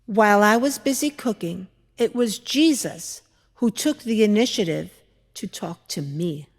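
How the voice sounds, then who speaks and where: A woman performing a dramatic monologue as a skeptical older mother-in-law from biblical times, telling her memories in a deliberate, expressive, reflective way. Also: medium pitch. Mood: warm remembering. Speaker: dramatic reader at a church service. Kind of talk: dramatic monologue, measured storytelling. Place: large church interior. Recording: echoey church hall, long reverb.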